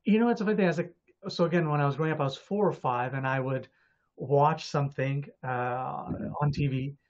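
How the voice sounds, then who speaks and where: A man in his forties from Montreal, an actor telling his story in a casual, animated and chatty way. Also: a little high, fairly quick. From Montreal, Canada. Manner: animated, chatty, acts out his younger self. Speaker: actor and director, guest. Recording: dull lo-fi audio.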